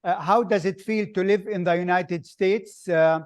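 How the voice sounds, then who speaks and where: A man hosting an interview, asking questions slowly and hesitantly with many fillers. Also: high-pitched, fairly even intonation, no echo. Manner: slow, hesitant, many uhs. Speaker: host. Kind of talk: video call interview.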